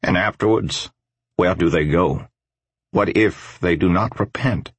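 A male narrator plays an older man cast out of his faith, in an audiobook drama. The voice is very deep and husky, grave and deliberate, with a measured solemnity. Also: no echo. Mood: grave, probing.

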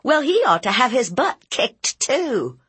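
A woman narrator acts out a fierce storybook aunt, blunt and protective. Her pitch rides high and swings widely as she interrogates and scolds with folksy force, and the voice has some husk in it. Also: very animated, a little slow, a bit bright, breathy edge, full character voice. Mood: outraged scolding.

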